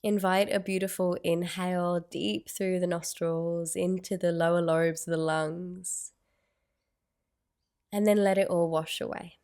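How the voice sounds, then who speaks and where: Woman doing guided meditation, super slow n soothing, pretty flat pitch, long pauses. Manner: soothing, unhurried, gentle phrasing with long pauses.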